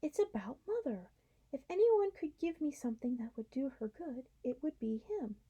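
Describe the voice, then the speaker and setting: A woman's voice: high-pitched, sing-song, normal speed, mellow, husky, highs cut off, voice pushed up, heavily acted. A woman voicing an earnest young boy in a storybook reading, urgent and breathless.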